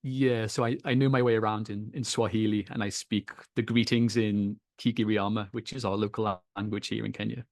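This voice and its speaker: A man with a Liverpool accent, a podcast guest telling his story in an easy, measured way, with small repeats. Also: fairly low pitch, level tone, vocal fry, darkish tone, no echo, studio quality. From England. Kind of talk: relaxed storytelling in an interview. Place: home in Kenya.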